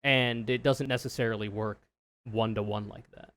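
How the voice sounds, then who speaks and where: A man with a low voice giving casual, opinionated reaction commentary, swearing freely and explaining in loose run-on sentences. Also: fairly even intonation, normal speed, fairly bright tone. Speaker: streamer reacting to a video.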